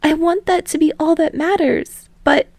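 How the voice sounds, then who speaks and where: A woman's voice: high-pitched, steady tone, moderate pace, darkish tone, no echo, pitched above the performer's own voice, lightly acted. A woman reading a fan story aloud and voicing an earnest, emotional teenage boy from a TV drama, pleading and strained.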